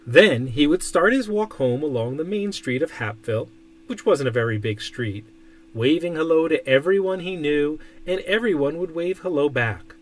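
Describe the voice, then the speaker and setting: Guy reading a kids picture book out loud, kinda high voice, really emphasizes phrases. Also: expressive, normal speed. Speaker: storybook reader. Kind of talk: expressive read-aloud of a children's picture book. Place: indoor room.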